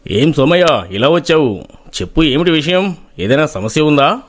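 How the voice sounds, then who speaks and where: A man voicing a respected, fair-minded village landlord in a moral story, measured and authoritative. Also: on the high side, animated, close to an everyday voice. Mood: calm, authoritative. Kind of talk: moral-story character acting.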